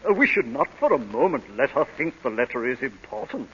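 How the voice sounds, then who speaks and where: A man's voice: medium pitch, very animated, a little fast, a bit bright, breathy edge, full character voice. A man acting a pompous, flustered older suitor in an old radio comedy, stammering and hesitant. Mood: self-righteous. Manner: hesitant, stammering, self-righteous. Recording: muffled vintage radio broadcast.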